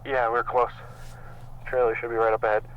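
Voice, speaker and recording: A man playing a casual guy who haltingly reassures a companion, in naturalistic found-footage horror style. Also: mid-range voice, little pitch movement, natural voice. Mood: uneasy, reassuring. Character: young man leading a companion through dark woods, reassuring. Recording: muffled, noisy camcorder-style audio.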